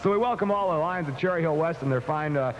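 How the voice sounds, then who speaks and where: Fast-talking 1970 Top 40 radio man. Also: fairly high pitch. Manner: punchy, excitable, conversational ad-libbing. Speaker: radio disc jockey. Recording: dull vintage AM radio aircheck. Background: pop music.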